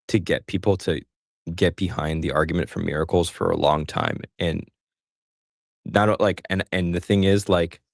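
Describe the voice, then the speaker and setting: A man with a very low, gravelly voice hosts a live call-in stream. He talks casually, joking and poking fun at himself. The sound is dry and close. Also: no room sound. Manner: chatty, self-deprecating, joking. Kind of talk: casual chat.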